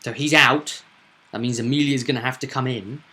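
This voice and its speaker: A man's voice: medium pitch, fairly even intonation, moderate pace, sharp tone. A man running a tabletop roleplaying game, explaining things in a reflective, conversational way. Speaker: game master.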